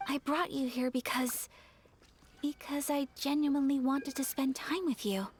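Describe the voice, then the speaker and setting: A woman voicing a gentle, polite and shy young noblewoman in a video game, speaking softly and courteously. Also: sits high, steady tone, unhurried, slight background noise. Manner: soft, courteous, flustered at times.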